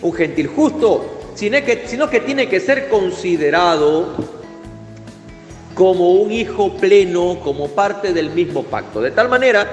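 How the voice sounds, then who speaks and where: High-voiced man preaching in an echoey hall. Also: expressive, measured pace. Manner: fervent, emphatic preaching with Hebrew terms. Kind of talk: sermon. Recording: echoey hall with faint music.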